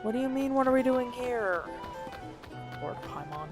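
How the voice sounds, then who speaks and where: A woman's voice: on the high side, expressive, moderate pace, over music. A woman giving casual, musing live commentary as she streams a video game, with exasperated asides.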